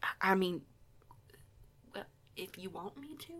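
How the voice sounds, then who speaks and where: A woman's voice: medium pitch, lively intonation, unhurried, a bit bright, slightly rough. A woman playing a young werewolf outsider in an audio roleplay, stammering, sweary and emphatic. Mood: nervous. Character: young female werewolf outsider, flustered, foul-mouthed, fiery.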